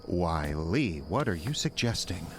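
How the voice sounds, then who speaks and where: Slow, low-voiced, pleading unstable ex-boyfriend. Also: male voice, very animated, background noise. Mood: drunk, pleading. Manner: halting, stammering, wheedling delivery. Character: unstable, pleading, drunken ex-boyfriend, clingy and self-pitying. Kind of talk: audio drama acting.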